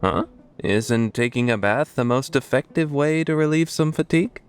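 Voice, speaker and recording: A man playing an easygoing, oblivious and gently teasing young man in a fan-dubbed drama, speaking casually. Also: medium pitch, moderate pace, smooth, natural voice. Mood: breezy, insistent. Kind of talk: fan dub drama acting.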